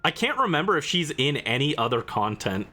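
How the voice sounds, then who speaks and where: A man's voice: a little high, bright, forceful tone. A man giving casual, playful, theatrical reactions as he livestreams a game.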